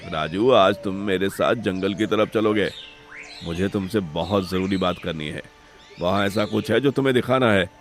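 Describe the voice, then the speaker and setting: A man voicing a stern, commanding and scheming older brother in an animated moral story. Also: low-pitched, moderate pace, natural voice. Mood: curt, secretive. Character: domineering, scheming older brother turned cruel master.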